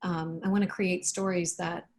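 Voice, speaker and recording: A woman with a soft, breathy voice, speaking in a thoughtful, unhurried manner as a picture book author. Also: lowish voice, level tone. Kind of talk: read-aloud storytelling and reflective interview talk.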